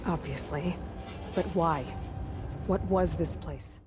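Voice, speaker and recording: A woman's voice: low voice, takes it slowly, over loud music. A woman playing a determined, sardonic young female hunter in a video game, speaking in a dry, blunt way. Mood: sardonic, probing.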